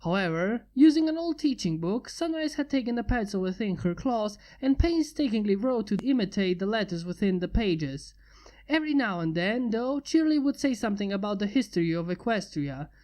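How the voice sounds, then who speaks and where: A woman narrates a story in an even, steady reading voice. Her tone is mellow and warm, at an ordinary pitch. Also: moderate pace. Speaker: fanfiction reader.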